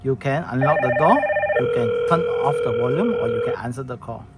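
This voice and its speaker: Male demonstrator, mid pitch, casual and halting how-to delivery, noisy room recording. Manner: halting, plain wording with many restarts and fillers. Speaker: product demonstrator. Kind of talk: casual product demo walkthrough.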